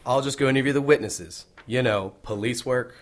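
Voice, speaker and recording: A man's voice: medium pitch, a little fast, natural voice. A man playing an eager, touchy young junior detective in an audio drama, earnest and easily stung. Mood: stung, sulky. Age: young adult.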